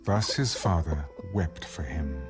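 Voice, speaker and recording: A man's voice: rumbling low, expressive, slow, loud music behind the voice, exaggerated voice. A man performing as a solemn narrator in a dramatized audio Bible, reading scripted scripture. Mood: solemn narration.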